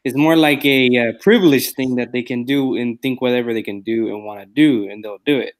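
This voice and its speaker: A man's voice: mid-range voice, fairly even intonation, a little fast. Joking man, Spanish native speaker. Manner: joking, talks over others. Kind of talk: casual podcast banter.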